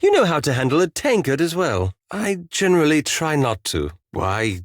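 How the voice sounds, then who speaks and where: An actor voicing a prim, bookish elf wizard in a fantasy video game, speaking formally and precisely with dry sarcasm. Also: male voice, a little high, big pitch swings, moderate pace, dry recording.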